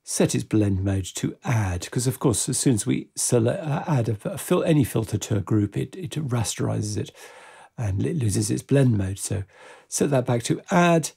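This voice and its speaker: A man giving an unscripted software tutorial, methodically explaining each step as he works, in a gravelly, husky voice. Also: medium pitch, animated, normal speed.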